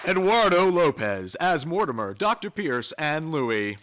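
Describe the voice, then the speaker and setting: Guy doing scripted radio announcing, highish and animated, live theater recording. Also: measured pace, a crowd in the background, background noise. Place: theater stage, live performance.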